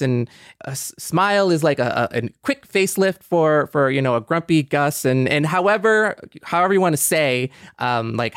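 A man's voice: on the high side, dry recording, clean studio sound. A man co-hosting a podcast in casual chat, rambling as he thinks aloud and restarting his sentences. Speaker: podcast co-host.

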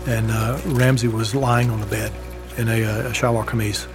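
A man, a former embassy security agent, recounting events in a measured, storytelling way with occasional fillers. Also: on the low side, little pitch movement. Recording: music bed underneath.

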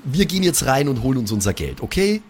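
A man's voice: medium pitch, big pitch swings, fast talker, breathy edge. A man improvising casually as a player in a tabletop role-play, using slang and English loanwords. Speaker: player in an improvised pen-and-paper audio play.

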